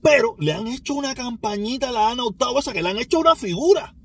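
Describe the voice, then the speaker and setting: A man's voice: extremely high pitch, expressive, fast talker, fairly bright tone, husky. A man from Cuba with a Cuban Spanish accent, giving a rambling, emphatic opinion monologue that circles back and repeats itself. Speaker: commentator.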